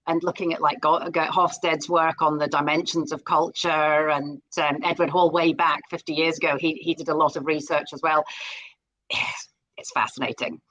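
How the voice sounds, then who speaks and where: Low-voiced Scottish woman, warm and chatty. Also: poor audio. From Scotland. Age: middle-aged. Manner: enthusiastic, repeats words when starting sentences. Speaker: guest. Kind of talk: live interview conversation.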